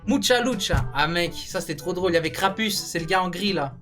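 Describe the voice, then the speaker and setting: A man with a noticeably high voice chats casually as he co-hosts a cartoon ranking. His delivery is informal and full of slang, with plenty of enthusiasm. Light music plays underneath. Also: expressive, fast talker, fairly bright tone. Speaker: co-host of a video channel.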